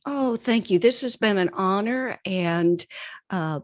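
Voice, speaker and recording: A woman speaking as an author and mentor in an interview, earnest, deliberate and emphatic. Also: mid-range voice, no room sound, clean studio sound. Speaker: podcast guest, author and writing mentor. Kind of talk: conversational interview.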